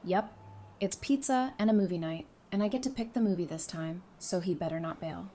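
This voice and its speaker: A woman's voice: on the low side, brisk, dark tone, close to an everyday voice. Woman acting friendly survivor, noisy background. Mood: upbeat. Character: friendly, knowledgeable young woman in a survivor community. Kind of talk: audio drama acting.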